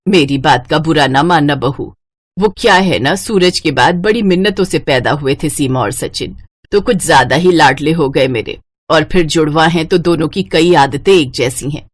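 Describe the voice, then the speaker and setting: A woman voicing a frail, doting old mother-in-law in an animated story, fussing and plaintive. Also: sits low, normal speed, husky, no echo, studio quality, voice pushed down. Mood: weary, pleading. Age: older. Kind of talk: animated moral-story cartoon voice acting.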